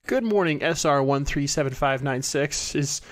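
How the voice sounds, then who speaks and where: A man acts a pompous, flustered male inventor in an audio drama. The voice is pitched high, with a fussy, self-important delivery. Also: moderate pace, close to an everyday voice. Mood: brisk, formal.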